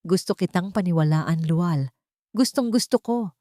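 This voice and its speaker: A woman's voice: fairly low pitch, measured pace, warm tone, no room sound, studio quality, lightly acted. A woman voicing an anguished, betrayed husband, tearful and bitter, in a dramatized audio story. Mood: tearful pleading.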